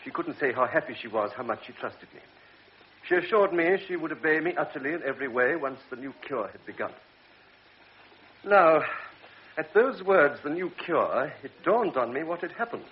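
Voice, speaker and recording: An actor playing a grave older doctor, speaking in a measured, lecturing way in a radio drama. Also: male voice, on the high side, expressive, lightly acted. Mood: stern. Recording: dull old radio recording with faint hiss.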